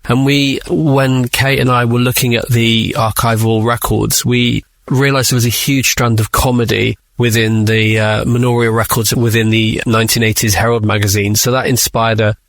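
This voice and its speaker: A man's voice: mid-range voice, level tone, moderate pace, fairly bright tone, dry recording. A man who works as a writer, answering interview questions conversationally and explaining creative work.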